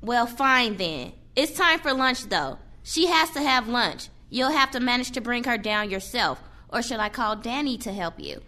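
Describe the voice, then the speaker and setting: Stern nurse for audio drama, played by a woman: bright, forceful, very animated, impatient and scolding. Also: a little high, moderate pace, few pauses. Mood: curt, annoyed. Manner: scolding, bossy, curt. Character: stern, impatient female care-home nurse. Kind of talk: audio play acting.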